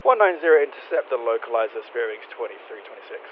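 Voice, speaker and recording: Dull-sounding radio, fast-talking male pilot. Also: mid-range voice, big pitch swings, a little hiss or hum. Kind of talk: clipped radio readbacks.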